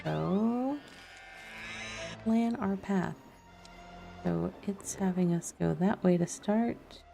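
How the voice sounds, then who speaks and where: A woman's voice: mid-range voice, drawn-out words, gravelly, warm tone. A woman game streamer giving casual let's-play commentary, thinking aloud and muttering to herself as she plays. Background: chill background music and game sounds.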